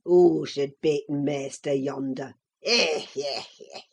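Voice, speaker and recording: A woman voicing a malicious old Yorkshire manservant in an audiobook, with a croaking, muttering, mocking delivery. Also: low-pitched, fairly even intonation, takes it slowly, voice pushed down, exaggerated voice. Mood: gleeful malice. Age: older. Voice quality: croaking, crackly, breathy. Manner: muttering, mocking dialect speech. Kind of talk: audiobook character voice.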